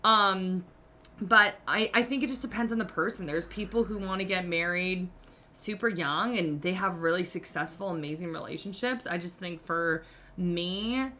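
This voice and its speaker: A woman's voice: fairly low pitch, normal speed, bright, forceful tone, a little hiss or hum. A woman in her thirties hosting a podcast, giving candid relationship advice in a casual, self-mocking way, full of "like". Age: 30s. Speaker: podcast host.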